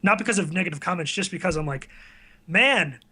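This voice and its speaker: Male video creator as interviewee, high-pitched, informal, modest and self-deprecating. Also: lively intonation, fairly quick, sharp tone, slightly rough. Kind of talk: casual chat.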